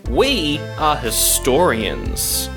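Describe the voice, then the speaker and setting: A man's voice: fairly high pitch, huge pitch swings, very slow. A man co-hosting a podcast, reading a scripted trailer for the show. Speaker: podcast co-host in a promo. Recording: acoustic guitar music under voice. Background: guitar music.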